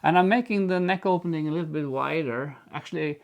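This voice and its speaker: Gravelly man chatting about knitting. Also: fairly high pitch, fast talker. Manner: enthusiastic, laughs easily. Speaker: knitting show co-host. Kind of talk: casual chat and knitting explanation.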